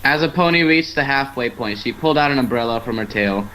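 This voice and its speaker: A man's voice: mid-range voice, expressive, fast talker. A man narrating fan fiction casually as an amateur storyteller, now and then stumbling over the script. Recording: noisy amateur online stream.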